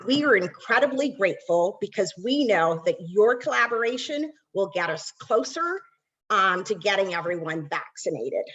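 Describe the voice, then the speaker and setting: A woman's voice: medium pitch, a bit bright, highs cut off. A woman from Barbados, a nonprofit executive, giving an earnest webinar welcome with frequent ums.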